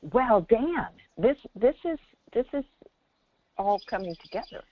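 A woman guiding callers conversationally as a spiritual teacher, speaking emphatically and haltingly, heard over a telephone. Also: a little high, animated, dry recording. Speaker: spiritual teacher on a call-in show. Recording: thin, narrow phone-line sound.

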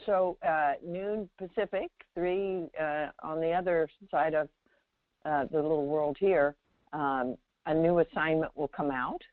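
A woman giving unscripted spiritual guidance on a call-in, speaking in a measured, halting way with pauses and check-in questions. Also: deep voice, dry recording. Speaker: Zen teacher hosting a call-in. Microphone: telephone. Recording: narrow tinny phone line.

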